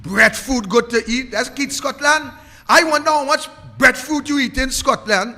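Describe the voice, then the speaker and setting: A man's voice: very high voice, normal speed, pushed and bright, in a large hall. A Trinidadian man hosting a live political talk show, delivering a scornful rant full of repeated rhetorical questions. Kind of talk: ranting live political commentary.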